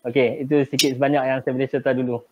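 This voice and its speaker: Man, middle-aged, northern malaysian kedah accent, measured, pausing, dull lo-fi audio. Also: medium pitch, no echo. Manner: measured, with frequent pauses and fillers. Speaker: guest, travel agency business owner. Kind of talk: remote interview storytelling. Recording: dull lo-fi live stream audio.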